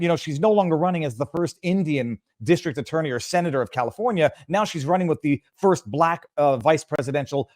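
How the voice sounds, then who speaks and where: A man's voice: a little high, no echo, clean studio sound. A man from Montreal, giving emphatic, indignant political commentary on a remote call, often stumbling and restarting. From Montreal, Canada. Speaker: guest commentator.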